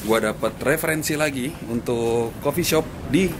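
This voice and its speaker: A man's voice: medium pitch, moderate pace, noisy background. A young man with a Jakarta Indonesian accent chatting casually to a camera held at arm's length, slangy and chummy like a vlogger. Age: young adult. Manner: slangy, chummy address to viewers. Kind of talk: casual vlog chat to camera. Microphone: handheld camera at arm's length.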